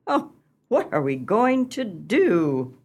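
A woman's voice: lowish voice, sing-song, slow pace, faint music behind, full character voice. A woman playing a scheming, dramatic small-town wife and mother in a comic radio play, with theatrical, genteel delivery. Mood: exasperated.

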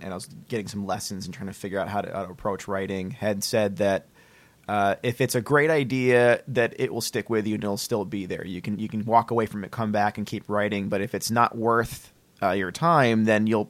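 A man's voice: on the low side, steady tone, a little fast. A man hosting a podcast in casual, conversational interview style. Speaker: podcast host.

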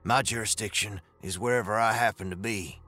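Man acting out a stern, gruff western gunslinger. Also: on the low side, fairly quick, sharp tone, pitched below the performer's own voice. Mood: stern, fed up. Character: stern gruff former Texas Ranger gunslinger, blunt and protective. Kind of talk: western audiobook character acting.

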